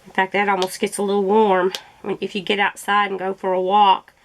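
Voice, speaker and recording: Gravelly-voiced woman chatting casually. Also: mid-range voice, monotone, a little fast, low-quality recording. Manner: rambling, chatty, self-correcting. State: throat irritated by allergies. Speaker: crafting vlogger. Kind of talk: casual chat while crafting.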